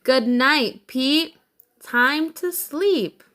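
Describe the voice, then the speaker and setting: Woman playing a bright-voiced, cool storybook cat. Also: high-pitched, drawn-out words, voice pushed up, exaggerated voice. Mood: sleepy, insistent. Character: cool, easygoing male cartoon cat who wants sleep.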